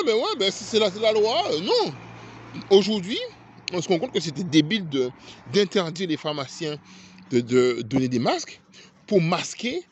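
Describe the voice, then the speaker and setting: A man's voice: sits high, slight background noise. A man with a foreign accent in French, ranting indignantly to camera in a vlog, stammering and repeating himself as he gets heated. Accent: non-native French accent. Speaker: vlogger. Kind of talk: angry political rant to camera.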